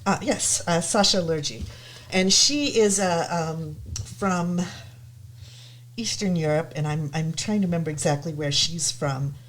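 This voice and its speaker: Low-voiced woman, hesitant and eager. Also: measured pace. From United States. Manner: hesitant, many ums, enthusiastic. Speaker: guest. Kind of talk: casual chat.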